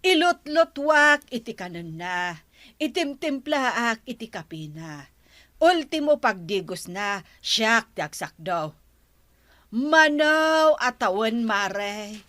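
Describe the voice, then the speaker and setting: Aggrieved wife, comic radio drama, complaining, somewhat high and animated, dry studio sound. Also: female voice, slow, no echo, exaggerated voice. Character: aggrieved, stubborn scolding wife, an aunt.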